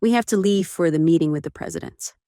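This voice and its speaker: An actor playing a brisk, dutiful director's assistant in a radio drama, crisp and deferential. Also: medium pitch, dark tone, no echo, clean studio sound, lightly acted. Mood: brisk, polite.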